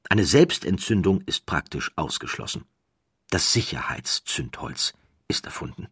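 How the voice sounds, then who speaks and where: A man narrates a scripted documentary story in a measured, dramatic way with deliberate pauses. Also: deep voice, sing-song, fairly bright tone, husky.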